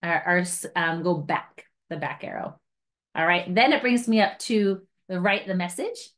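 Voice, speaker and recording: A woman's voice: lowish voice, a little slow, fairly bright tone. Woman giving a casual hands-on tutorial. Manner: casual, chatty step-by-step explaining with light self-mocking asides. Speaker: trainer for outdoor guides. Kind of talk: informal hands-on device tutorial.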